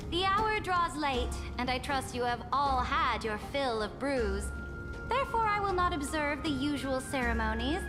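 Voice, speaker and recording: A woman's voice: high voice, in a large hall. An actress playing a proud, imperious female emperor in a video game, speaking in grand, commanding formal oratory. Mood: gracious, regal. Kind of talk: dramatic video game voice acting. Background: music.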